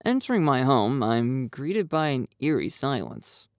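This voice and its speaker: A man narrating a first-person visual novel with expressive, lively intonation. His voice has a gravelly edge, recorded close and dry. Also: mid-range voice, unhurried, dry recording. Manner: expressive, storytelling delivery.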